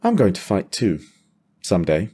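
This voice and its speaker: A man's voice: low voice, wildly swooping pitch, moderate pace, mellow, slightly rough, heavily acted. A man voicing a curious, earnest young boy in a fantasy audio drama. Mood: earnest, determined. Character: young boy, curious, tired, eager to be brave. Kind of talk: audio drama character dialogue.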